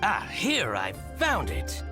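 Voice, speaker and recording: A man's voice: fairly high pitch, huge pitch swings, slow pace, exaggerated voice. A man voicing a jealous, boastful merchant in a video game, theatrical and full of exclamations and gloating. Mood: scheming, gloating. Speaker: merchant character. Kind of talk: animated video game voice acting. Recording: game music behind the voice. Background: background music.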